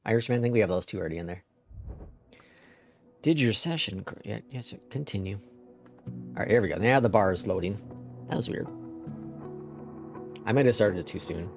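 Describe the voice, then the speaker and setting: A man's voice: fairly low pitch, expressive, normal speed, long pauses, a little mellow, soft background music. A man with a Midwestern accent who streams a flight simulator, chatting casually and muttering to himself as he works the controls, with some mild swearing. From Upper Midwest (Minnesota/North Dakota). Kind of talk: casual live-stream commentary.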